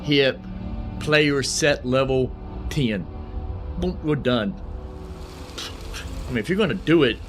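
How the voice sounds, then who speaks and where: Male gaming host, mid-pitched, folksy and rambling, casual commentary over clear game music. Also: animated, takes it slowly, long pauses.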